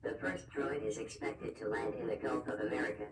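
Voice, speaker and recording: A roomy, distant recording carries a solemn male emergency announcer, played by a man. He reads a scripted alert formally and impersonally over clear background noise. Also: mid-range voice, fairly even intonation, fairly quick, lightly acted. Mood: grave, formal. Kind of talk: scripted emergency broadcast narration. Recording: echoey, distant, noisy alert broadcast audio.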